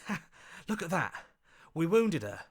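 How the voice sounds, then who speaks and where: A man's voice: a little high, sing-song, measured pace, husky, full character voice. A man playing an earnest, anxious hero for a video game, speaking in a plain, urgent, questioning way. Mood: strained, alarmed. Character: earnest, anxious heroic inner voice, adult man. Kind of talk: video game character acting.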